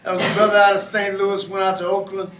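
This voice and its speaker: High-voiced animated man lecturing. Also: quick pace. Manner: animated, questioning the audience. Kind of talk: informal lecture to a live audience. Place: room with a small audience. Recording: echoey room, mic far off.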